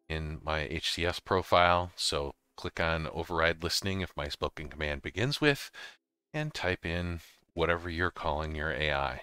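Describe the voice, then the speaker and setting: A man narrating a casual gaming software tutorial, explaining things informally step by step. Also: low-pitched, smooth, no echo. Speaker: host of a gaming tutorial series. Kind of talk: casual software tutorial narration over slides and screen capture.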